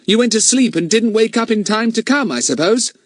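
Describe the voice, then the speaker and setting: A man's voice: high voice, expressive, a little fast, no echo, studio quality, voice pushed up. A man reading aloud and voicing a confident, clever boy who asks pointed questions. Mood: curious. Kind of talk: audiobook character voice.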